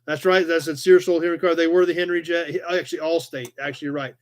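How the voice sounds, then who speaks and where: A man in his forties with an American accent and a slightly high-pitched voice hosts a casual live chat. He talks in an easygoing, rambling way, reading comments and answering them conversationally. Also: steady tone, quick pace, a little hiss or hum. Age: 40s. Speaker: live chat show host.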